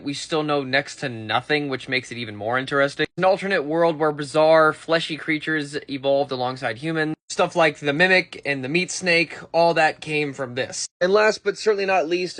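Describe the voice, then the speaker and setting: A man's voice: on the high side, a bit bright. A man presenting a list in a casual, conversational way, with informal asides as an online video host. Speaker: YouTube presenter.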